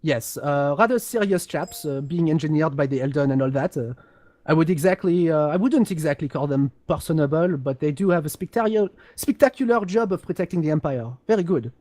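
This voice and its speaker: A man acts out a haughty, highborn gentleman of a galactic empire, pitched high and recorded close and dry. He is condescending and pompous, picking his words haltingly in a game lore role-play. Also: dry recording, lightly acted. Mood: aloof.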